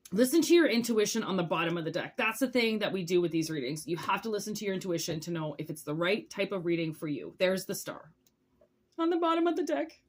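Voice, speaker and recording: A woman reading tarot in a casual, chatty way, rambling through asides and checking in with the listener as she goes. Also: mid-range voice. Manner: rambling, conversational, with asides and rhetorical check-ins. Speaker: tarot reader hosting zodiac readings.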